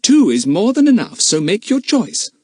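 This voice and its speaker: A man playing a firm, motherly aunt with a raised, lively voice. She speaks briskly and sensibly, keeping order among the children, in a dry recording. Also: high voice, no echo, voice pushed up, exaggerated voice.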